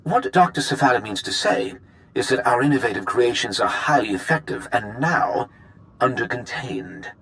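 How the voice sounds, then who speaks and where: An actor playing a smooth, self-serving research executive in a science fiction game, with glib, wordy formality. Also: male voice, mid-range voice, animated, moderate pace, a bit bright, natural voice, low-quality recording. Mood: smooth, evasive. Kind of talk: video game cutscene acting. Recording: music behind the voice.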